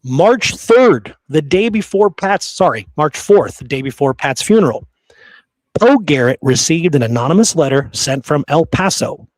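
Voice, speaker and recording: A man's voice: on the high side, lively intonation, normal speed, no room sound, low-quality recording. A man co-hosting a history podcast, telling old stories in a casual, colloquial way. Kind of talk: casual conversational history storytelling.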